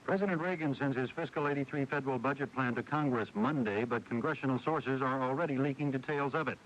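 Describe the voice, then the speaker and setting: American male radio anchor, mid-pitched, scripted bulletin delivery, muffled early-eighties broadcast with faint hiss. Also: fast. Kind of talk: scripted network news bulletin. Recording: dull, hissy 1980s radio broadcast recording.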